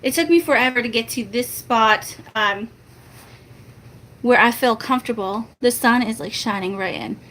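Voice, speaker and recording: A soft-spoken woman, chatting casually and laughing with her viewers in a rambling, self-mocking way. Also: moderate pace, low-quality recording. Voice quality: soft-spoken, high-pitched. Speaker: family vlogger.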